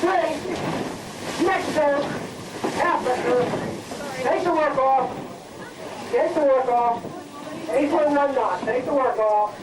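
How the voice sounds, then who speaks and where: Distant, echoing crew leader calling slow orders. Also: high-pitched. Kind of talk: shouted commands and countdown. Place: outdoors aboard a ship at sea. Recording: very noisy, echoing, distant on-board sound. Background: loud waves and boat noise.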